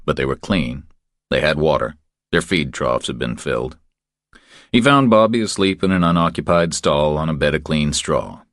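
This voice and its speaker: A man with an extremely deep voice narrates a crime thriller audiobook. His pitch moves in lively steps through the scripted prose, recorded close and dry. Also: moderate pace, dry recording.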